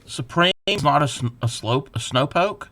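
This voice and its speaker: A man crocheting on a livestream, counting stitches softly under his breath and chatting casually with viewers. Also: a little high, lively intonation, measured pace. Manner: laughs at himself, chats back to viewers.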